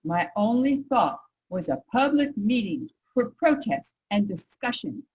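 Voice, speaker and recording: A woman's voice: medium pitch, sing-song, unhurried, a little mellow, breathy edge, full character voice, rough recording. A woman playing an earnest nineteenth-century women's rights reformer, reciting formally in reader's theater. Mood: earnest, resolute.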